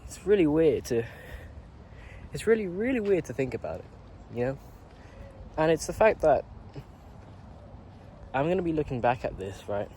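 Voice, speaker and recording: A man's voice: a little high, very animated, moderate pace, long pauses, warm tone, slight background noise. A man in his late teens, a YouTuber, talking through a rambling, earnest reflection and swearing casually.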